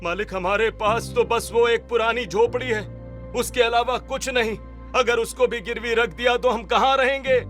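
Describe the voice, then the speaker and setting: A man's voice: extremely high pitch, fairly even intonation, moderate pace, a bit bright, faint music behind. A man playing an earnest, worried young labourer son in a story drama, speaking in a pleading way.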